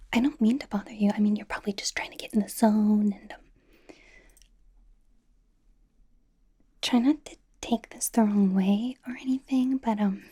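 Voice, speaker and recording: A woman playing a bubbly, nervous, supportive young pop idol in a breathy, whispered ASMR roleplay, rambling and reassuring as she goes. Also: fairly high pitch, mellow. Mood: apologetic, hesitant. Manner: rambling, giggly, reassuring, hesitant.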